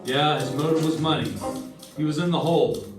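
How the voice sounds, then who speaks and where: A man's voice: fairly high pitch, fairly quick, lightly acted. A man playing a wry, hardboiled investigator, narrating and talking in clipped old-time radio detective style. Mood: wry, dramatic. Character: hardboiled, wry male insurance investigator narrating his case. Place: live stage performance. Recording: echoey live stage, distant mic, music behind. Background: music.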